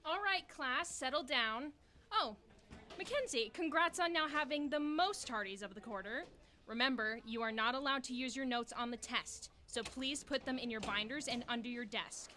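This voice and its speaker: An actress playing a brisk, bossy schoolteacher who is flustered and trying to sound calm, in an audio drama. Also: female voice, sits high, slight background noise. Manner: brisk, bossy, falsely reassuring. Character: flustered schoolteacher forcing calm on her class.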